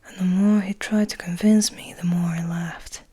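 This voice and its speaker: A woman voicing a serene but bitter male vampire in a measured, formal, breathy whisper for a storybook reading. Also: lowish voice, fairly even intonation, warm tone. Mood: reflective, somber.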